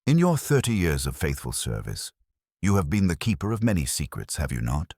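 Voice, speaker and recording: Man reading a fastidious detective: very deep, expressive, close and dry. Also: slightly rough, no echo, heavily acted. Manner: precise, probing, formal. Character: fastidious Belgian male detective, middle-aged.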